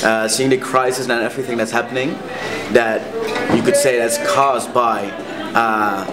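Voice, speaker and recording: A man, answering in an unsure, measured way. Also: mid-range voice, steady tone, over loud music. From Tilburg, Netherlands. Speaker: interviewee. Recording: crowd chatter behind.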